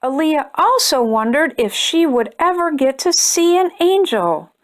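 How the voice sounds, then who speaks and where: A woman hosting a storytime channel, reading a children's picture book aloud in a gentle, expressive way. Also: sits high, measured pace. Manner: gentle, expressive reading, brighter and chattier when addressing viewers. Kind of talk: read-aloud storytelling for kids.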